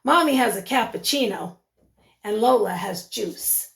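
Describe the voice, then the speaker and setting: A woman teacher reading a picture book aloud to young children, expressively, pausing to put questions to them. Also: on the high side, lively intonation, creaky.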